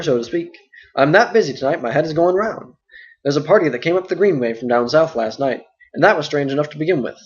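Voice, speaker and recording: A man voicing a fat, bustling, talkative innkeeper who speaks loudly and in a hurry, on a dull lo-fi recording. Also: fairly high pitch, natural voice. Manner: loud, hurried, endless stream of talk. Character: fat bustling middle-aged male innkeeper, chatty.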